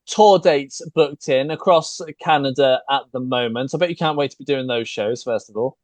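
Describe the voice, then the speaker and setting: A man's voice: mid-range voice, normal speed, no echo. Dry-sounding male interviewer. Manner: short affirmations then steady questions. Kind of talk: interview questions.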